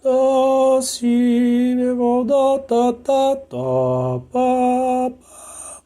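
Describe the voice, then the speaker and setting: Hoarse guy teaching intervals slow and easy, mellow low-lit voice, sings the notes, keeps asking "right?". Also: soft background music. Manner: checks in often with short questions. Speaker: music teacher. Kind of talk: sung and spoken music lesson.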